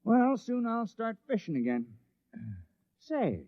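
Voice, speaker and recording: An actor playing a gentle, downcast village fisherman in a 1950s radio drama, sheepish and plain-spoken. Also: sits high, wildly swooping pitch, takes it slowly, full character voice. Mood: wistful. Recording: dull vintage radio recording.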